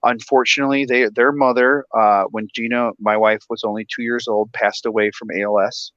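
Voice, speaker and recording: A man's voice: medium pitch, flat delivery, moderate pace, a bit bright, no room sound. A man in his thirties from Michigan chats as a guest, laughing often and restarting his sentences. His audio comes through dull and muffled, with a dry sound. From Michigan, USA. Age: 30s. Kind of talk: casual chat.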